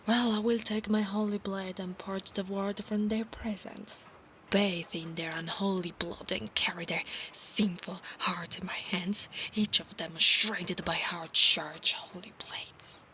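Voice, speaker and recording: A woman with an Italian accent voicing a proud, zealous centaur knight in a soft, intimate ASMR roleplay, speaking formally and earnestly. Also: mid-range voice, steady tone, a little slow, slight background noise, low-quality recording. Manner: formal, earnest, grandiose talk. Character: proud, zealous female centaur knight, obsessive and battle-hungry.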